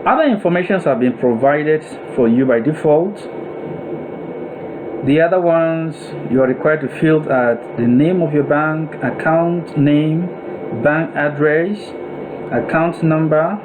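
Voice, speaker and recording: A man with a Nigerian accent giving a deliberate, step-by-step software tutorial voice-over, phrase by phrase, as an instructor. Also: a little high. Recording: noisy room with steady air-conditioning-like hum.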